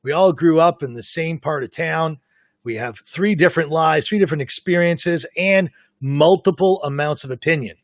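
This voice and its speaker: A man hosting a live podcast, talkative and self-described as the loudest mouth of the group. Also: fairly high pitch, normal speed, no echo, studio quality. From Canada. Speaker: podcast host. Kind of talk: casual live podcast chat. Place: home in South Florida.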